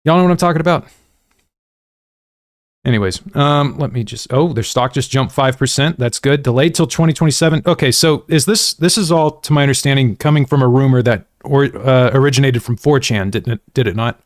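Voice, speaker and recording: A man's voice: mid-range voice, fairly quick, no room sound, studio quality. An American man hosting a gaming livestream, chatting casually to viewers in a rambling, slangy, self-deprecating way. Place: indoors at a desk.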